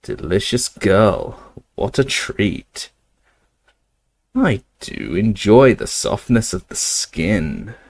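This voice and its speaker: Brief: rasping menacing male villain, slow and leering, a man doing the voice in an audiobook. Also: fairly low pitch, huge pitch swings, heavily acted.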